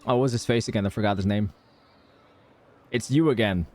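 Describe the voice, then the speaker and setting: A man's voice: lowish voice, a little fast, a little mellow, a little hiss or hum. A man streaming a game playthrough, commenting in an offhand, wry way.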